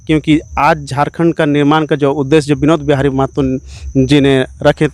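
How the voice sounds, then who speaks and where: A man's voice: on the high side, no echo. A man giving earnest, emphatic, repetitive political answers in an interview as an activist.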